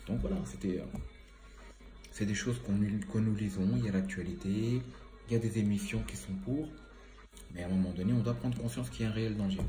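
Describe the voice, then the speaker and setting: A man speaks in a low, mellow voice, a little stuffy from a cold. He rambles casually and hesitates often as he thinks aloud. The sound bounces around an echoey room, with faint music underneath. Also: fairly quick. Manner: rambling, hesitant, many fillers. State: has a cold, slightly stuffy. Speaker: environmental vlogger. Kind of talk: casual spoken commentary.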